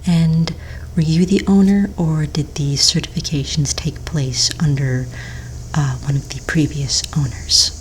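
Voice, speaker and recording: A woman playing a polite, patient office manager in a soft-spoken ASMR roleplay, with a breathy near-whisper and gentle, unhurried delivery. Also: low-pitched, steady tone, poor audio. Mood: calm, polite. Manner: gentle, unhurried, hesitant pauses while checking paperwork. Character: polite, patient female office manager at fantasy pet registry. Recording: faint-to-clear background noise, keyboard typing. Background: computer keyboard typing.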